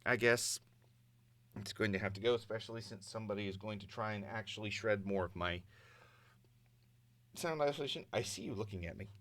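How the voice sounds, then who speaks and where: A man's voice: on the low side, brisk, long pauses, a bit bright. Casual man vlogging off the cuff. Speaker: amateur voice actor, vlogger. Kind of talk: casual off-the-cuff vlog chat. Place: home recording space with sound isolation.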